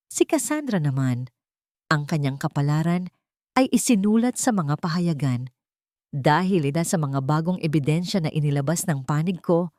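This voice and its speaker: A woman reading a dramatic first-person story as an audiobook narrator, measured and dramatic. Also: low voice, darkish tone, dry recording, clean studio sound.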